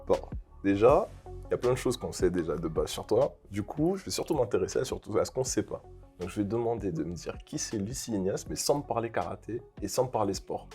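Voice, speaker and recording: Man, interview host, very low pitch, casual, probing questions. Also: soft background music. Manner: lively intro, quick probing questions.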